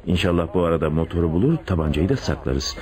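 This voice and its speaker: A scheming police chief in a radio play, really low voice, swoops around, deliberate and probing. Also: male voice, expressive, a little mellow. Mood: conspiratorial. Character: calculating police chief secretly in love with a suspect. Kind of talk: radio drama acting. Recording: radio drama with audible background noise.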